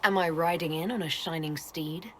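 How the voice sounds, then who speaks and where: An actress playing a composed, modest heroine in a video game, plain-spoken and polite with dry good humour. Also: female voice, low voice, moderate pace, slight background noise, close to an everyday voice. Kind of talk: video game character dialogue.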